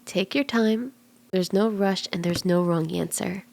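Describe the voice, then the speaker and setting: Kitsune tarot reader acted by a woman: flirty, playful, soft and intimate ASMR delivery with noticeable vocal fry and some whispering. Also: medium pitch, unhurried, a little hiss or hum, low-quality recording. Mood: calm, guiding. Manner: teasing, reassuring, conversational. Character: flirty, playful female kitsune fortune teller.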